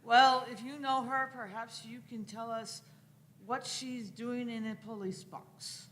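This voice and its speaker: Woman playing stern, bright-voiced police inspector. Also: a little high, steady tone, measured pace, a little hiss or hum. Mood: stern, suspicious. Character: brisk, stern female police detective inspector. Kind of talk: live radio play reading.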